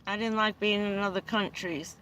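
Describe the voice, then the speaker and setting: A woman's voice: medium pitch, fairly even intonation, moderate pace, fairly bright tone, a little hiss or hum. A middle-aged American woman hosting a livestream from inside a vehicle, chatting in a rambling way, laughing often and swearing casually. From United States. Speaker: livestream host.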